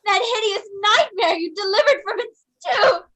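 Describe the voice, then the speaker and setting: An actress playing a young daughter, trembling and fearful. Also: female voice, extremely high pitch, animated, moderate pace, sharp tone, full character voice. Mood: terrified. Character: frightened young daughter Edith. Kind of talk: old time radio drama acting.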